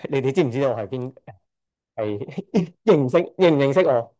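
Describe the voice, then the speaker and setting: A middle-aged man from Hong Kong speaks at a church service with a mellow, warm voice. He is hesitant, stops often to correct himself and lets out a shy chuckle now and then. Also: medium pitch, expressive, brisk. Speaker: church member giving testimony. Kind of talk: church testimony speech.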